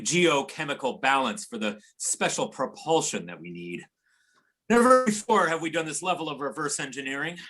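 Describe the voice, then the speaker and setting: A man's voice: medium pitch, pushed and bright. A man playing a worn, sinister chief of covert operations, reading in an amateur readers theater over a video call. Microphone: video or conference call.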